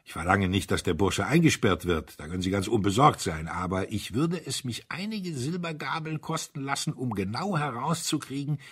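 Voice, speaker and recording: A male reader puts on a grim old colonel from a detective audiobook. The voice is very husky, with wide swings in pitch. He is blunt and probing, an old soldier through and through. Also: expressive, moderate pace, hardly any pauses. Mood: gruffly admiring. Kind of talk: audiobook character acting.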